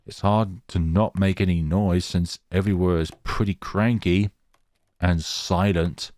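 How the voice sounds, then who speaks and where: A man reading a horror visual novel aloud in a theatrical, halting way, with casual asides. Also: low-pitched, fairly even intonation, darkish tone, no room sound, clean studio sound.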